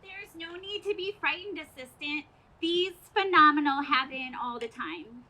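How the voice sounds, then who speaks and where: A woman playing a dithering, evasive astronomer in a comic stage radio play, halting and reciting by rote. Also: sits high, pushed and bright, exaggerated voice, low-quality recording. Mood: vague, reassuring. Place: outdoor stage performance.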